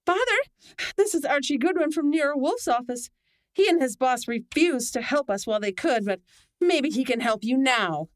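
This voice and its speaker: Actress as grieving young woman, high voice, dazed, halting, bitter, old radio drama, dry studio. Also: animated, dry recording. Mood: bitter grief. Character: shaken, bitter, grieving young woman, murdered man's daughter.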